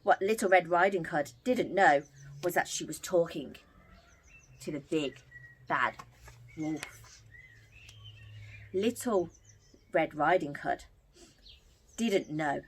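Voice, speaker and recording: A woman telling a story to young children as an entertainer, theatrical and expressive. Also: low-pitched, slow, long pauses, breathy edge.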